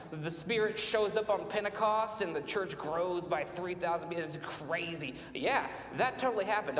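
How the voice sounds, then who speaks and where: An American man in his late thirties preaches informally in a high-pitched voice. He is self-deprecating and puts questions to his listeners. The sound is echoey, with the long reverb of a church hall. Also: fairly bright tone. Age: late 30s. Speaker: pastor. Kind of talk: conversational sermon with personal testimony. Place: large church sanctuary, speaking from the front. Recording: echoey church hall, faint rumbling noise.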